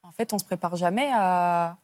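A woman's voice: on the low side, moderate pace, darkish tone, no echo. Young woman telling a personal story. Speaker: talk show guest. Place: TV studio.

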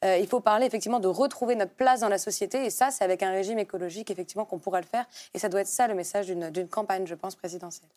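A woman's voice: mid-range voice, fairly even intonation, very fast, few pauses, darkish tone. A young woman from Paris, speaking off the cuff in a panel debate, polite but insistent. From Paris, France. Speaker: panel guest, ecology advocate.

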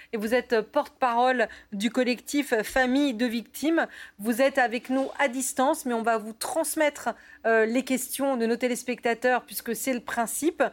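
A woman co-presenting a live talk show, introducing a guest with chatty asides. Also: on the high side. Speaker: TV co-host.

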